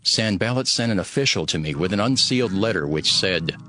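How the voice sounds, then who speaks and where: Low-voiced, earnest ancient governor. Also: male voice, animated, fairly quick, sharp tone, faint music behind. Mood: earnest, measured. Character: steadfast, devout male governor of ancient Jerusalem telling his story. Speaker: first-person narrator. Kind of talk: dramatized scripted narration.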